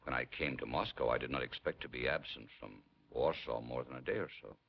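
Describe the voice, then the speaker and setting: An actor playing a middle-aged, guarded Polish resistance prisoner, terse and dignified, in a 1950s film. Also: male voice, very deep voice, brisk, gravelly, heavily acted. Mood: guarded, grave. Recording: dull 1950s film soundtrack.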